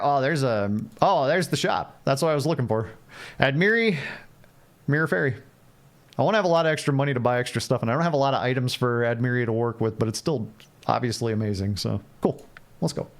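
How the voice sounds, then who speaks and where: Male game streamer, medium pitch, playful and conversational, thinks out loud. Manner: playful, thinking aloud, repeats phrases. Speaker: game livestreamer. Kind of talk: casual live gameplay commentary, chatting with viewers.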